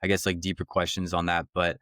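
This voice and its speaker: A man with a very deep, smooth voice hosts the interview, easygoing and full of "like" and "um". The recording is dry and close-miked. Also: quick pace, no room sound. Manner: relaxed, filler-heavy, friendly questions. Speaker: podcast co-host. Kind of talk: casual interview hosting.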